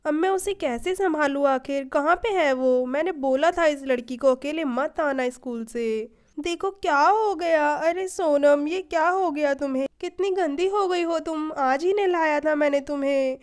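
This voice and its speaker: Woman playing an exasperated, high-voiced scolding mother. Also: normal speed, close to an everyday voice. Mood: worried scolding. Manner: scolding, nagging.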